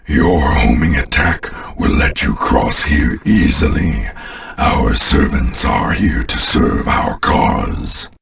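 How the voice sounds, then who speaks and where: A man voicing a sinister, contemptuous alien warlord in a video game, giving pompous, commanding battle orders in a gravelly voice. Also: a bit bright, distant mic, a little hiss or hum, full character voice. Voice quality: very deep, gravelly. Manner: slow, pompous, disdainful, commanding. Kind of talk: scripted video game character lines.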